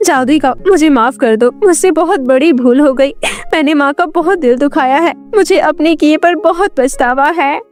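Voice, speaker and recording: A woman voicing an arrogant, sharp-tongued young college woman in an audio storybook. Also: sits high, animated, brisk, faint music behind. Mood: remorseful. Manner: haughty, sharp. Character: arrogant, snappish young college woman ashamed of her family.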